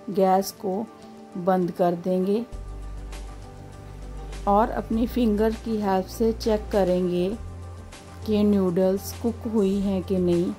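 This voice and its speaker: Slow, instructive woman over music. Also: mid-range voice, dark tone. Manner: slow, instructive, in short phrases. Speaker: cooking channel host. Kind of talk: step-by-step recipe voice-over.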